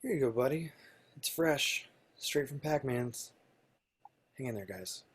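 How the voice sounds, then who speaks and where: A man's voice: mid-range voice, lively intonation, unhurried, breathy edge. A man playing a lonely, grumbling video-game bad guy with a sarcastic edge in a screenplay reading. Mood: friendly.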